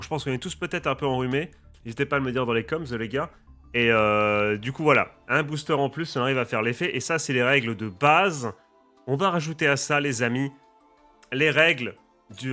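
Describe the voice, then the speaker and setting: A bright, forceful-sounding man with a slight head cold, talking casually and eagerly to his viewers. He keeps a chatty, lively tone as he lays out the rules, while quiet music plays in the background. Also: medium pitch, moderate pace. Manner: lively, addresses viewers as friends and guys. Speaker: gaming YouTuber and streamer.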